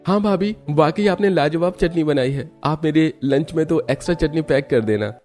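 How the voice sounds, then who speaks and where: A man's voice: fairly high pitch, moderate pace, a little mellow, faint music behind, close to an everyday voice. A man playing an appreciative but picky eater in a cartoon family drama. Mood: pleased.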